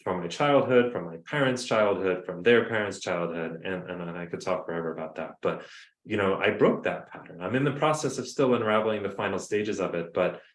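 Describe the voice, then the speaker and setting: A man's voice: sits low, a little fast, echoey room. A man with a New Jersey accent, talking earnestly as a podcast guest on a video call. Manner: animated, earnest, storytelling. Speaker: guest, coach. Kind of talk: casual podcast conversation.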